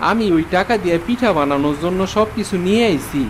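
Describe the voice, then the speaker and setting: A man's voice: sits high, expressive, quick pace, a little hiss or hum. A man playing a poor, humble village fisherman in a cartoon folk tale, speaking plain rustic Bangladeshi colloquial Bengali. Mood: grateful.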